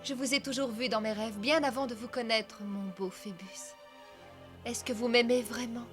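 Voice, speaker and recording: Woman acting an earnest, high-voiced young bohemian heroine. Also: fairly even intonation, normal speed, close to an everyday voice. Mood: tender, adoring. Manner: earnest, melodramatic. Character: young, devoted, proud, romantic bohemian woman. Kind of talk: animated film dub. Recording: loud background music.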